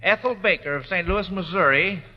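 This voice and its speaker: Male radio quizmaster, vintage style. High-pitched, reads questions aloud, dull old recording with long reverb. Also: normal speed. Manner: hesitant and filler-prone when interrupted. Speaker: quiz show host. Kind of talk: 1940s radio quiz hosting, reading listener questions.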